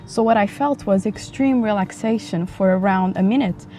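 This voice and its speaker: A woman in her twenties from Portugal, chatting frankly in a casual vlog, her voice a bit raspy from a dry throat, recorded outdoors near a highway. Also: normal speed, mellow. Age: 20s. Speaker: vlogger. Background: passing motorcycles and traffic.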